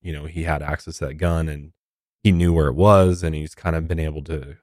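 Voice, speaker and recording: Very deep-voiced American man, dry sound. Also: fairly even intonation, fairly quick, a little mellow, no echo. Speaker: podcast host. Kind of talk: true crime podcast discussion.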